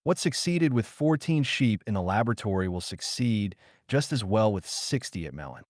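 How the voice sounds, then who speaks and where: A man narrating as an earnest male scientist in a storybook biography. The voice is low and lively in intonation, with formal, assured phrasing. It sounds resolute and sure of its claims. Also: moderate pace, dry recording, clean studio sound. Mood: confident. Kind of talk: quoted dialogue in an audiobook biography.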